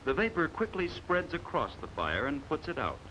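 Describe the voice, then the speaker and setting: An American man narrating a scripted 1950s training film in a measured, authoritative, emphatic manner, on a vintage soundtrack. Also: fairly high pitch, huge pitch swings, sharp tone, slight background noise, rough recording.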